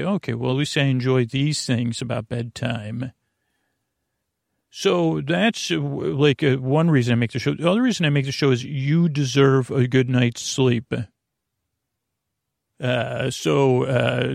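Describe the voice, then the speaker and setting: A man hosting a bedtime podcast in a halting, meandering monologue that circles back on itself, with a gravelly voice. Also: medium pitch, no echo, studio quality. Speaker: podcast host.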